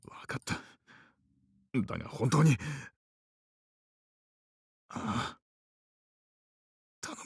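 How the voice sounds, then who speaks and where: An actor voicing a gruff, tired male doctor in a visual novel, speaking in curt, clipped lines. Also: medium pitch, expressive, slow, pauses often, no echo, full character voice. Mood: brusque. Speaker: clinic doctor.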